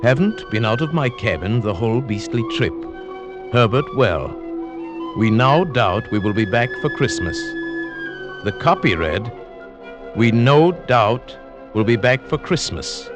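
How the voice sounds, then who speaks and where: A man's voice: mid-range voice, animated, unhurried, close to an everyday voice. A man acting as a smug, fussy, scheming professor in vintage radio suspense, speaking formally and pedantically. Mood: wry, brooding. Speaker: actor and first-person narrator in a radio play. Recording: vintage radio recording with music.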